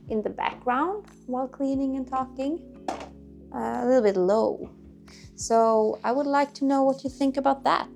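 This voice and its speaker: A Swedish-accented woman chats to camera in her home kitchen, a little high in pitch with a gravelly fry. She wanders through her thoughts, restarts sentences and hunts for words. Dishes clink and music plays clearly behind her. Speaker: cleaning vlogger. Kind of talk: casual chatty talk to camera while cleaning. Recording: music playing underneath. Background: dishes clinking, quiet music.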